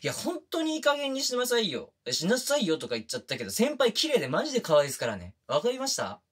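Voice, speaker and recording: A man's voice: sits high, wildly swooping pitch, fast, breathy edge, full character voice. A man playing a pushy, flirtatious younger coworker with puppyish eagerness, speaking casually and intimately to the listener in a romantic audio drama. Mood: flustered, insistent. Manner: casual junior-to-senior speech, teasing and coaxing. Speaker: voice actor in a romantic situation voice drama. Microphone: close binaural studio microphone.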